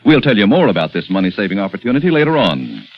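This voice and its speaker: Brief: vintage male announcer, lively emphatic pitch, dull old radio sound. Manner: measured, emphatic spelling out. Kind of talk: sponsor announcement. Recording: lo-fi old radio broadcast with music.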